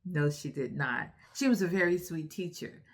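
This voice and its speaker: A woman's voice: low-pitched, normal speed, husky. A woman hosting a storytime for young children, recapping a story in an encouraging, instructive way and putting questions to her young listeners.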